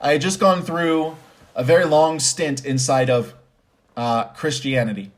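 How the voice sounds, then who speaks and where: A man's voice: fairly high pitch, a little slow. A man giving conversational motivational commentary with personal stories, stressing points by repeating phrases. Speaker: host and commentator.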